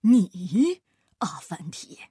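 A woman voicing a haughty, irritable male astrologer in a children's story, with a breathy rasp and a pompous, sneering manner. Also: mid-range voice, very animated, takes it slowly, darkish tone, no room sound, studio quality, full character voice. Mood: disdainful. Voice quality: breathy, raspy.

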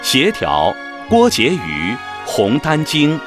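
A man reading scripted credits as a radio drama announcer, in a formal, measured, declamatory manner. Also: mid-range voice, huge pitch swings. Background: background music.

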